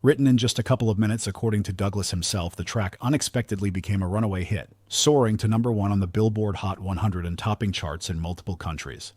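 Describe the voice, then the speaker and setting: A man's voice: fairly low pitch, fast, few pauses, darkish tone, no room sound. A man reading a scripted music countdown as narrator, with upbeat, promotional phrasing.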